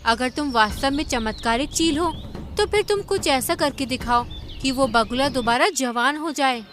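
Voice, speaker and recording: A woman's voice: high-pitched, normal speed, a bit bright, a little hiss or hum. A woman voicing a kind, inquisitive young female sparrow in a cartoon, earnest and questioning. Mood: challenging.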